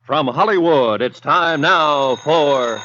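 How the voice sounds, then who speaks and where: A man's voice: on the high side, slow pace, very noisy. An American man announcing a 1950s radio drama in a polished, declamatory scripted style. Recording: dull vintage radio broadcast, music underneath.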